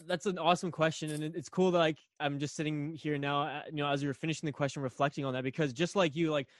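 A young man from Canada, a guest on a podcast, telling a long story casually with lots of fillers and restarts, his voice a little raspy. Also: a little high, no echo, studio quality. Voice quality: slightly raspy.

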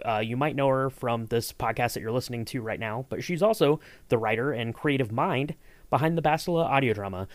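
A man's voice: mid-range voice, no echo. A man hosting a podcast in a casual, enthusiastic interview chat. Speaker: podcast host.

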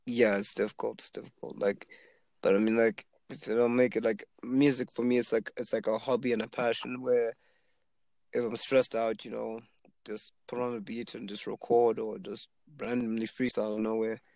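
A man's voice: on the low side, normal speed, no echo. A man of twenty from Zimbabwe, chatting casually and rambling with lots of fillers about being an aspiring rapper. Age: 20s. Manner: relaxed, rambling with many fillers. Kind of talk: casual chat.

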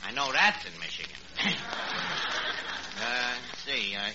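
An actor playing a dim, bluffing, uneducated city man with a halting, muddled delivery, in 1940s radio comedy style. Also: medium pitch, very animated. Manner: halting, muddled, mangling words. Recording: muffled, noisy old radio broadcast.

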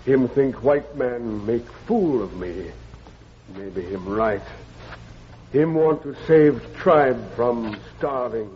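An actor playing a wounded, weary old chief in a radio western, speaking weak, halting broken English. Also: male voice, mid-range voice, lively intonation. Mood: weak, sorrowful. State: weak, labored speech. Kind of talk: 1950s radio western acting. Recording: noisy, dull old radio recording.

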